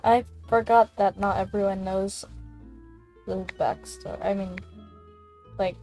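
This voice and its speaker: Young woman, casual let's-play commentary, unhurried, gravelly fry, mellow tone, rambling, giggly, faint game music. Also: mid-range voice, low-quality recording. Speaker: gaming video commentator.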